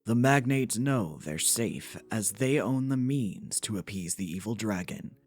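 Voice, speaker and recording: A man playing a solemn storyteller, reading scripted fantasy narration for a fan dub in a measured, dramatic manner. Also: lowish voice, animated. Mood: grave.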